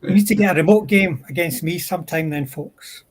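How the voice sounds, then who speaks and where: A man's voice: a little high, a little fast, a crowd in the background. A man speaking as a guest in a casual livestream chat about tabletop wargaming.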